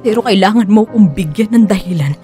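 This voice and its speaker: An actress playing a conflicted wife torn between family and lover in a radio drama. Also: female voice, medium pitch, fast talker, warm tone, faint music behind, lightly acted. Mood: guarded, reproachful.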